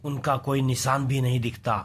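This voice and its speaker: Man acting out an excitable, melodramatic male scientist. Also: medium pitch, moderate pace, slightly rough, lightly acted. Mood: absorbed, fretful. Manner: exclamatory, melodramatic. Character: excitable, devoted male wildlife scientist, indignant and anguished. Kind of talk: dramatized audio adventure story.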